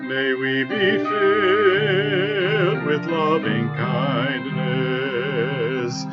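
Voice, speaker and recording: Low, very slow singing woman over music. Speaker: singer of a church hymn. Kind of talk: slow sung loving-kindness blessing. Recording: dull lo-fi sound under loud music. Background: musical accompaniment.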